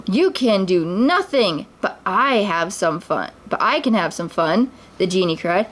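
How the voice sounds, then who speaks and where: A woman doing a playful booming genie. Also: big pitch swings, unhurried.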